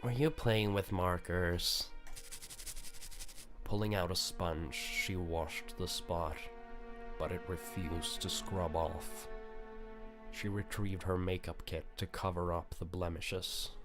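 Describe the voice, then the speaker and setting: A man reading a visual novel aloud as narrator, in a grave, deliberate storytelling manner. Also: low-pitched, fairly even intonation, over music.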